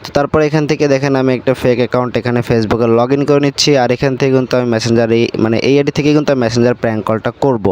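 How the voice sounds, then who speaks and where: A man's voice: medium pitch, steady tone, rapid-fire, few pauses, a little mellow, clean tone. A man presenting a casual step-by-step screen tutorial, repeating his instructions as he goes. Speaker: YouTube tutorial host.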